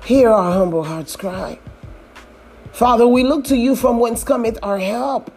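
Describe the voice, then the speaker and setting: A woman leading a fervent, pleading prayer, repeating her appeals and rising to shouts. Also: fairly high pitch, a little slow, soft background music. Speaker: prayer leader.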